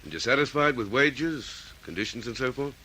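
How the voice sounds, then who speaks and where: An actor playing a methodical, questioning police detective in an Australian radio drama, with an Australian accent. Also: male voice, mid-range voice, lively intonation, pushed and bright, slight background noise, low-quality recording. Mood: probing. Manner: deliberate, questioning.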